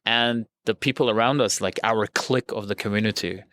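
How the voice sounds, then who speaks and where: Low-voiced joking man, Armenian native speaker. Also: fairly quick. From Jerusalem. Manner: animated, joking, laughs often. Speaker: podcast guest. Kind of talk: casual interview conversation.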